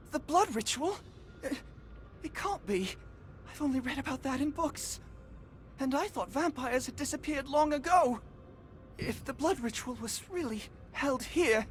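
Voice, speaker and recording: A woman playing an earnest, anxious magic user in a video game, speaking in a dramatic, explanatory manner. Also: high voice. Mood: shocked, worried. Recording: music under the voice.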